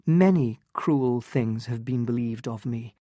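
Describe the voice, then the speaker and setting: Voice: mid-range voice, measured pace, dark tone, dry recording, studio quality, close to an everyday voice. A narrator voicing a poised young countess who is guarded and proud, speaking in a lighter, clipped, composed way. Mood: quiet.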